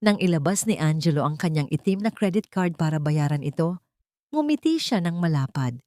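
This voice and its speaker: Low-pitched woman narrating a dramatic love story. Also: expressive, fairly quick, mellow, no room sound, clean studio sound. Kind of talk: dramatic scripted romance narration.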